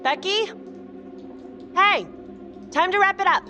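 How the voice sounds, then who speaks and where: An actress playing a brisk, concerned police colleague in a crime game. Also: female voice, very high voice, sharp tone, a little hiss or hum, heavily acted. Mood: alarmed. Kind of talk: cinematic crime game voice acting.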